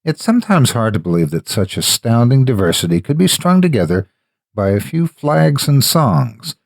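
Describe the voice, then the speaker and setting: Male horror narrator, low register, dry studio-tight sound, emphatic and theatrical storytelling. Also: darkish tone, dry recording. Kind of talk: scripted dramatic narration.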